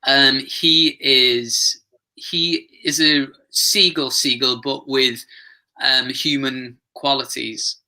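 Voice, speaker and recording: A man's voice: mid-range voice, steady tone, takes it slowly, pushed and bright. A man taking part in a casual workshop discussion, thinking aloud hesitantly. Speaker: workshop participant.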